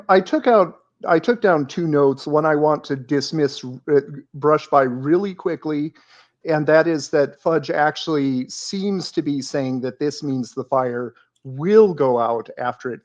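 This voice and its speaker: A man's voice: on the high side, unhurried, dull audio. A man co-hosting a casual theology discussion, explaining points carefully with frequent fillers and repeated words. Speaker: podcast co-host.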